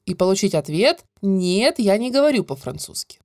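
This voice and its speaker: A woman teaching a French grammar lesson, explaining clearly and stretching out the example words. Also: mid-range voice, very animated, moderate pace, a little mellow, no room sound, studio quality. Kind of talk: scripted grammar lesson narration.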